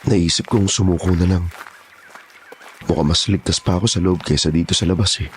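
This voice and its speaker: A man's voice: low voice, steady tone, a little fast, slight background noise, lightly acted. A man playing a frightened, pleading young man in his twenties in a horror audio drama. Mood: subdued, resigned. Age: young adult. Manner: pleading, respectful, whiny. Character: frightened, pleading 26-year-old man hunted by monsters.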